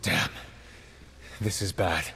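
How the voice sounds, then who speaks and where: An actor playing an earnest, guarded intelligence agent in a video game, grave and intent. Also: male voice, low-pitched, fairly even intonation, takes it slowly, gravelly, sharp tone, a little hiss or hum, heavily acted. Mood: grave, worried. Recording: long hall reverb, music behind.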